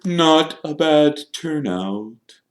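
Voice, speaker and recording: A gentlemanly ghost in a storybook, acted by a male narrator. He speaks very slowly and stiffly, full of wounded pride, in an echoing room. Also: a little high, monotone, voice pushed up. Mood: proud. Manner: stiff, slow, drawn-out. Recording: roomy, echoing narration recording.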